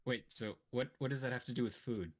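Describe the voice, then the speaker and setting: A man's voice: medium pitch, fairly even intonation, fairly quick. A man co-hosting a stream, trading casual banter with a dry, teasing manner. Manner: teasing, dryly joking. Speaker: stream co-host. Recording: lofi music playing behind. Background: soft lofi background music.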